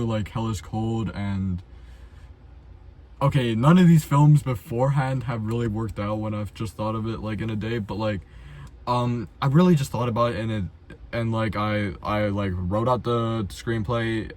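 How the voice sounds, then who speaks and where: Slangy young man with a lowish voice. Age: young adult. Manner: self-deprecating, blunt, slangy and sweary. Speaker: amateur filmmaker, video host. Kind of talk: casual unscripted commentary.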